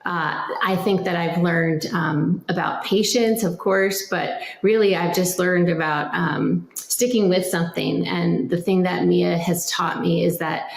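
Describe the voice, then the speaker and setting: A woman's voice: fairly low pitch, fairly even intonation, measured pace, vocal fry, few pauses, echoey room. A woman answering interview questions conversationally as a parent, in an earnest, reflective manner.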